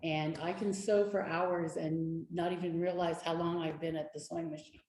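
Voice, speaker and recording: A woman speaking as an advocate on a community panel, telling her story in an earnest, steady way. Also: fairly low pitch, normal speed. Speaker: domestic violence advocate. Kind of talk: panel discussion. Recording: echoey room with faint noise.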